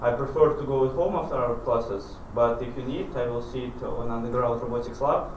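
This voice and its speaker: A man running a lab class, talking casually in a halting, loose way with chuckles. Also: mid-range voice. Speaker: lab instructor. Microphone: distant room mic. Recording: echoey, noisy room from far off.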